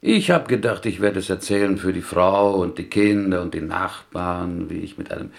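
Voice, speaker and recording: A man acting a pious, gentle, wryly plaintive small-town prisoner from Galicia in a German radio play, speaking in deliberate, melodic, inverted sentences. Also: low voice, vocal fry. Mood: wistful, wry. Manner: deliberate, melodic phrasing with inverted word order.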